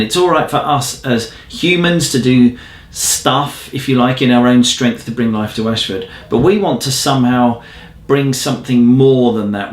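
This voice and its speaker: Man, church newsreel co-host, conversational and reflective, mid-pitched voice, echoey room. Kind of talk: informal church news update.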